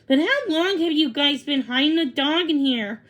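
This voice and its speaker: Woman as Lizzie, an eager, high-voiced dog-loving girl. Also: normal speed, a bit bright. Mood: curious.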